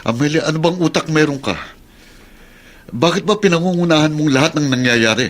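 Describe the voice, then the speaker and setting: An actor with a Filipino accent playing a principled, earnest uncle in a radio drama. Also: male voice, on the high side, expressive, a little fast, natural voice. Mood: reproving. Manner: earnest, admonishing. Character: principled, reproving uncle, grateful for kindness.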